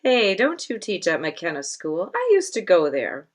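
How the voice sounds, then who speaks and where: A woman's voice: lowish voice, huge pitch swings, unhurried, heavily acted. A woman voicing a slick, gum-chewing teenage male pet-shop clerk with salesman patter in a storybook. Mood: casual.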